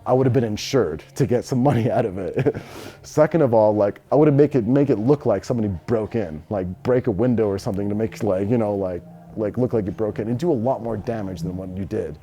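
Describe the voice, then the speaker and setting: Male, 20s, American, very low mellow voice, blunt and slangy interviewee. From Los Angeles, United States. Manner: blunt, slangy, swearing freely. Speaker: interviewee, business owner. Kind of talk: casual interview answers.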